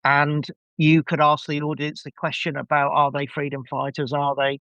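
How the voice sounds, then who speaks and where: A man speaking as a podcast guest in casual discussion, halting and repeating words as he builds his point. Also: a little high, monotone, bright, forceful tone, smooth, no echo, highs cut off.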